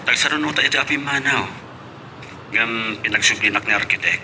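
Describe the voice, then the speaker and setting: A man's voice: medium pitch, brisk, music in the background, natural voice, low-quality recording. A man acting an earnest young office worker in a radio romance drama, with an Ilocano accent.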